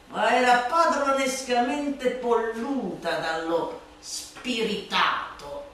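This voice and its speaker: An actress playing a bitter, mocking satirist who declaims a theatrical radio monologue against a tyrant, swinging between drawn-out murmurs and shrill cries. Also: female voice, pushed and bright. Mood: grim scorn. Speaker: stage actress performing a literary monologue. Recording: very echoey, distant, slightly noisy hall.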